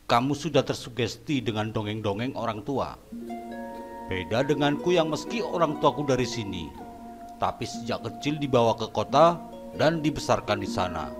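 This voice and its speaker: A man voicing a greedy, arrogant village head in a horror cartoon, speaking in a low, bossy, scornful way. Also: natural voice. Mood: dismissive.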